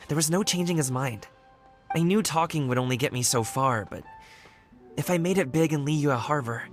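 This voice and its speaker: A man's voice: on the high side, a bit bright, lightly acted. A man voicing a laid-back young dancer. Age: young adult. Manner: friendly, laid-back and candid. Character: easygoing young man, wushu dancer and guard. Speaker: game character. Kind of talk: video game voice acting.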